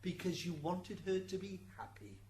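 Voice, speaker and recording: A woman's voice: on the low side, sing-song, moderate pace, mellow. A woman acting a fussy, stammering defence lawyer in an amateur radio drama courtroom. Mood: measured. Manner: stammering, pointed courtroom questioning. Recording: hissy amateur recording, background noise.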